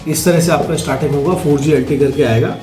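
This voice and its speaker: A man's voice: on the high side, fast talker. A man giving a casual, instructional product demo, speaking directly to viewers and checking in often as he explains each step. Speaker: product demonstrator. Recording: roomy echo, faint music.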